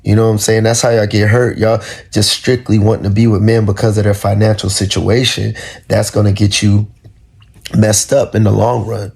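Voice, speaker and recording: Low gravelly man giving frank advice. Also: flat delivery, moderate pace, a little mellow. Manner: earnest, lecturing, repetitive. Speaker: commentator. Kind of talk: talking-to-camera commentary.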